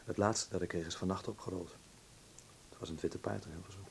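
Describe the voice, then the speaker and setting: A slightly hissy old radio play recording of an anguished, idealistic man in wartime. His voice is low and creaky, intense, hushed and pleading. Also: steady tone, fairly quick. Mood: weary, worried. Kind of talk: radio drama acting.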